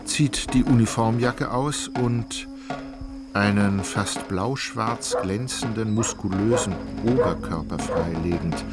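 A man narrating a literary radio play in a measured, precise, formal manner. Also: lowish voice, over loud music.

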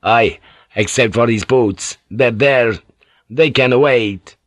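A man reading an audiobook, voicing a crude, lewd middle-aged ship's boatswain with a loud, blustering manner. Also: mid-range voice, slow pace, no echo. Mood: offhand. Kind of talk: audiobook character voice.

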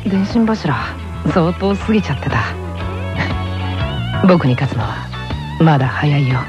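A woman's voice: sits low, expressive, a little slow. A woman playing a composed, confident boy tennis player in an anime radio drama. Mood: calm, smug. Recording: dull audio with music behind.

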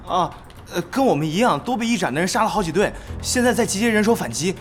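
A flustered, eager young gamer underling in an audio drama. His voice is high and very quick, with faint music behind it. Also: expressive. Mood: flustered, urgent. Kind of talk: Chinese audio drama acting.

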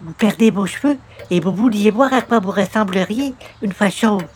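A man's voice: high voice, normal speed, a little hiss or hum, close to an everyday voice. A man playing an overly polite, naive and pious door-to-door visitor, ceremonious and exclamatory, in old-time radio comedy. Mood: puzzled.

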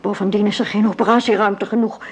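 Actress as a stern, weary old matriarch. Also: female voice, mid-range voice, little pitch movement, quick pace, breathy edge, close to an everyday voice. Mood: grave, bitter. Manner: grave, curt, world-weary. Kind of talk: radio play acting.

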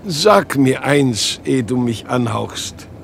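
An actor playing an anguished, bitter father in a radio drama, his creaky voice questioning and accusing. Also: medium pitch, animated, slow, slight background noise. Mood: pleading, agitated. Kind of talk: dramatic radio play acting.

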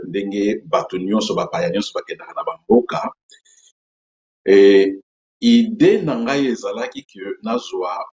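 Low-voiced Congolese man, echoey room. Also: darkish tone. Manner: unhurried, explains step by step with many hesitation fillers. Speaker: YouTube channel host. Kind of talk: explanatory talk to camera. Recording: echoey room, dull lo-fi sound.

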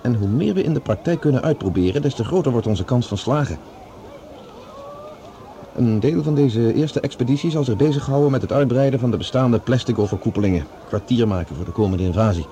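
An actor playing a friendly, thoughtful scientist on a Mars project in a Dutch science fiction radio drama. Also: male voice, medium pitch, animated, a little fast, mellow, slight background noise, close to an everyday voice. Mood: explaining, businesslike.

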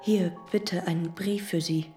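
A woman's voice: fairly low pitch, steady tone, takes it slowly, mellow, faint music behind. An actress playing a polite, composed young female visitor in a German radio drama.

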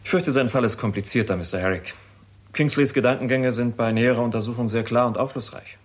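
A man's voice: medium pitch, fast talker, close to an everyday voice. An actor playing a shrewd, composed and polite man in a 1960s German radio drama, articulate and analytical. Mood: thoughtful.

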